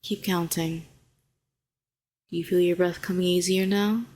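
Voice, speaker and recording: A woman's voice: lowish voice, steady tone, a little slow, lightly acted. A woman playing a telepathic villain, a former hero who is sardonic yet tenderly protective, in an intimate audio roleplay. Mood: soothing, tender. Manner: sharp and sardonic, turning soothing and coaxing.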